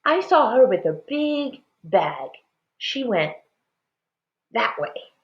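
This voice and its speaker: A woman reader acts out a little village boy, high and animated. He is an earnest, helpful storybook child who declares what he knows, heard on a slightly dull recording. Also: takes it slowly, reverberant.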